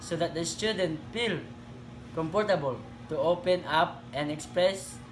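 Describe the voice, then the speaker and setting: A man's voice: fairly high pitch, unhurried, background noise. A young man giving a class presentation and addressing his classmates casually. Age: young adult. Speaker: student presenter.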